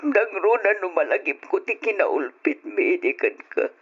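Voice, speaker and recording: An affectionate middle-aged aunt from an old radio play, given to emotional, drawn-out phrases. Her husky voice rises and falls a lot. The sound is muffled like an aged broadcast. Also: lowish voice, heavily acted. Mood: tearful remorse. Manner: emotional, drawn-out expressive delivery. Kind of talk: old radio drama acting. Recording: dull old radio recording.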